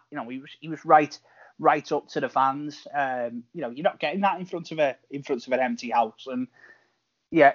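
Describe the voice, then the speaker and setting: A man's voice: medium pitch, lo-fi sound. A man from Liverpool talking enthusiastically on a football podcast, with lots of "you know" fillers. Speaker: podcast panelist. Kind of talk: casual football podcast chat.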